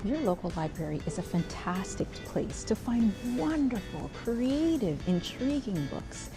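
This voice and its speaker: A woman's voice: medium pitch, very animated, measured pace. A woman narrating a children's storybook as host, with playful, exaggerated emphasis on descriptive words. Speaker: children's read-aloud host. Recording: music playing behind the voice. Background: music.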